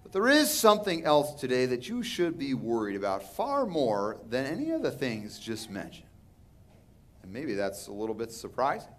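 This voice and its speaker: A man's voice: medium pitch, huge pitch swings, moderate pace, fairly bright tone. A man in his thirties with a Texan accent, preaching a sermon earnestly from a church pulpit. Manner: earnest preaching with rising and falling emphasis. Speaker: pastor. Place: large church sanctuary, indoors. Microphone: pulpit microphone.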